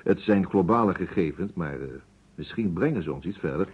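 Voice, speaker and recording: An actor playing a courteous, precise private detective in a vintage radio play, musing deliberately and dropping in French phrases. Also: male voice, low-pitched, animated, husky. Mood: methodical. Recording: muffled phone-like old radio recording.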